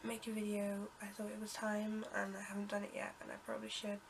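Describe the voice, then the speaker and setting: Bunged-up young woman, flat voice. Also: mid-range voice, fast talker, background noise. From United Kingdom. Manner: rambling, many ums and restarts, coughs and clears throat. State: congested with a cold. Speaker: vlogger. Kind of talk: casual storytelling to camera.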